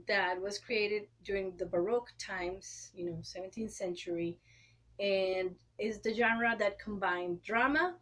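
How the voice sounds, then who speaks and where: A woman in her thirties from Costa Rica, speaking English with a Costa Rican Spanish accent, explaining things in an interview and clearing her throat often. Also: mid-range voice, a bit bright, poor audio. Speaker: guest, opera singer and educator. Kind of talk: interview answers.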